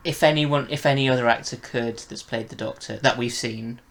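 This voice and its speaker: A man's voice: medium pitch, fairly even intonation, fairly quick, a bit bright. A man co-hosting a casual, enthusiastic review conversation. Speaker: podcast co-host reviewing audio dramas.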